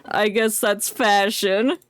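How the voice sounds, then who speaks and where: A woman's voice: medium pitch, fairly even intonation, slow pace, smooth, soft background music. A woman livestreamer giving casual, joking game commentary in a playful, sarcastic way.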